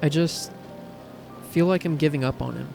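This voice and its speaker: Man voicing anxious young woman, pitched up, gravelly. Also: moderate pace, over music. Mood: tearful, weary. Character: young woman, tearful worried sister, stubborn.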